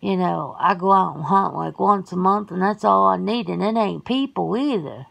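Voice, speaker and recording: Woman doing a feisty, gravelly Southern werewolf woman. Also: mid-range voice, normal speed, fairly bright tone. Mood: indignant, sassy. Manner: folksy, blunt, exclaiming. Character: feisty, folksy, homebody werewolf woman, centuries old, suspicious. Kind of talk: ASMR roleplay.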